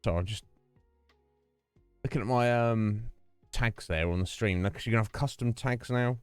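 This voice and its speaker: A man with a lowish voice chats loosely to his stream audience. He rambles and restarts sentences, close to a dry desk mic. Soft music sits faintly underneath. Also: normal speed, smooth, no echo. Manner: rambling and chatty, trailing off and restarting. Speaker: livestream host. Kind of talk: casual livestream chat to viewers. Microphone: desk microphone with noise gate.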